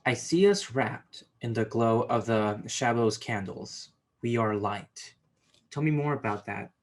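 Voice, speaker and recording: A man's voice: medium pitch, measured pace. A man moderating an interview, asking questions and responding conversationally.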